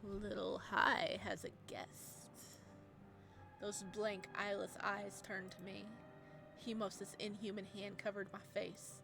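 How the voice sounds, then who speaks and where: A woman who streams games, reading a visual novel aloud and breaking off for excitable commentary. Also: medium pitch, takes it slowly, creaky, over music. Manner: excitable, laughs at her own voice acting. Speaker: game streamer.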